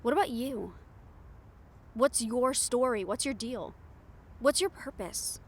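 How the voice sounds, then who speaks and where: A woman's voice: on the high side, animated, takes it slowly, slightly rough, heavily acted. A woman playing a bold, sarcastic, foul-mouthed reporter in an improvised audio roleplay, speaking in a candid, conversational way. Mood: curious, probing. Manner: conversational, exasperated, candid, with sighs and swearing.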